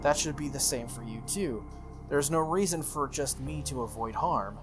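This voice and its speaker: A man's voice: mid-range voice, moderate pace, close to an everyday voice. Guy reading as a solemn young knight girl, formal and dutiful, visual novel style, music underneath. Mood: solemn, firm. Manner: formal, solemn, matter-of-fact. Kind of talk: visual novel character read-aloud.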